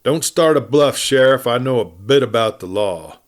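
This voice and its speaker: A man's voice: mid-range voice, animated, normal speed, lightly acted. Man playing a big, blustering western outlaw. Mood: threatening. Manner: taunting, blustering cowboy talk. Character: big, bold, defiant western outlaw gunman.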